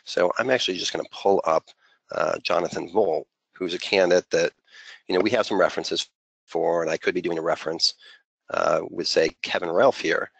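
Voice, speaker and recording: A man presenting an unscripted software walkthrough as a consultant, conversational and peppered with filler phrases. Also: low-pitched, steady tone, fairly quick, gravelly, husky, dry recording, rough recording. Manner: conversational, heavy use of "you know" as filler.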